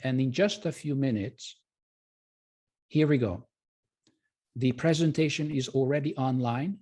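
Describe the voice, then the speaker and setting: Male webinar presenter. Soft-edged, dark tone with some huskiness; polite, informal, halting sales delivery. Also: medium pitch. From Hungary. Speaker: presenter and trading educator.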